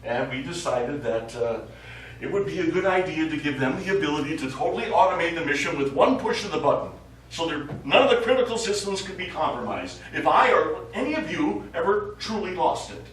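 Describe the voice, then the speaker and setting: A guarded astronaut played by a male stage actor speaks in a fairly high voice, earnest and defensive, with halting repeats. It is live play dialogue, heard from a distance in a very echoey space. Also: lively intonation, a bit bright. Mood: earnest, explanatory. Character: quiet, guarded male astronaut explaining himself to his crew. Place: small theater studio, recorded from audience distance. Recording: echoey hall, distant mic, faint noise.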